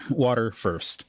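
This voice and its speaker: A man's voice: medium pitch, gravelly, breathy edge, no echo. A man chatting casually off-script between takes as a voice actor, profane and amused at himself. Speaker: amateur voice actor recording audio roleplay.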